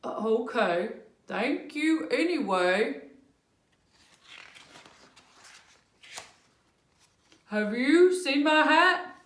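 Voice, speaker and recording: A woman voicing a polite, sad male bear in a picture book read aloud, with a slow, plodding delivery. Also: high-pitched, expressive, fairly bright tone, pitched above the performer's own voice, exaggerated voice. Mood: polite, patient. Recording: echoey room.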